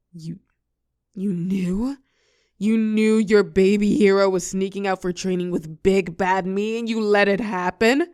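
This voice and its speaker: A woman playing a telepathic villain and former hero in a roleplay audio drama, protective and sharp-tongued, with a breathy voice that often drops to a whisper. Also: medium pitch, no echo, clean studio sound, lightly acted. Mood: angry, scornful. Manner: slow, commanding yet tender coaching.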